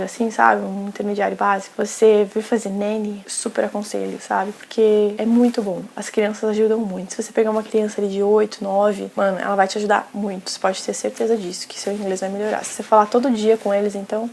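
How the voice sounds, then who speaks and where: A young Brazilian woman rattles along in easy, slangy vlog chat, her words spilling out quickly. Her voice carries a gravelly edge, and a faint hiss sits under the recording. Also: medium pitch. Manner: chatty, slangy, frequent "sabe?" tags. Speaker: vlogger.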